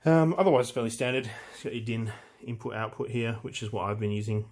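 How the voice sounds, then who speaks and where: A man giving a casual, unscripted walkthrough of equipment, speaking in a hesitant, halting way as he thinks aloud. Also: mid-range voice, fairly even intonation, a little mellow. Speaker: hobbyist presenter demonstrating vintage audio gear.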